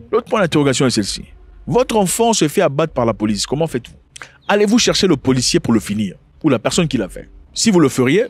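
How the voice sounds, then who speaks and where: Homme commentant, français non maternel. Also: male voice, mid-range voice, husky, dry recording. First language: not French. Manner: rhetorical questions, hesitant restarts. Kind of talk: casual video commentary.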